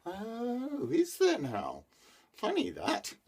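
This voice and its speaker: A man's voice: medium pitch, huge pitch swings, fast talker, vocal fry, breathy edge, exaggerated voice. A man voicing a dry, wry older father questioning his son.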